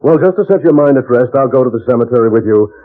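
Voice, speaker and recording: A man's voice: mid-range voice, animated, fast, phone-quality sound, natural voice. A man playing a skeptical judge in an old radio drama, brusque, emphatic and declamatory. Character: skeptical, authoritative judge, easily flustered by fear. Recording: echoey thin old radio recording, music.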